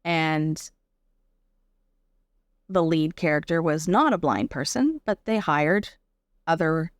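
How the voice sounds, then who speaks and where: A woman speaking as an interview guest, giving a personal account in a reflective, measured and explanatory conversational way. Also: low-pitched, lively intonation, no room sound, clean studio sound. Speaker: podcast guest, actor and advocate.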